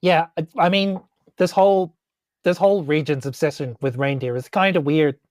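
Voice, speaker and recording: A woman's voice: sits low, normal speed, a little mellow, no room sound. Casual New Zealand woman, dry close sound. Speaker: tabletop role-playing game player. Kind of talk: casual improvised game chat.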